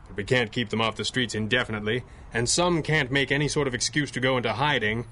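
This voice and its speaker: An actor playing a stern, resolute masked crime-fighter with grave, clipped, formal speech in a 1930s radio adventure style. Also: male voice, medium pitch, quick pace, sharp tone, slight background noise, close to an everyday voice.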